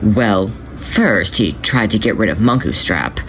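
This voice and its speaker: A man voicing a cocky male cat who is protective and teasing, in a gentle, affectionate manner. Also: on the low side, lively intonation, a little slow, voice pushed down. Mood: grave. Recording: noisy home recording.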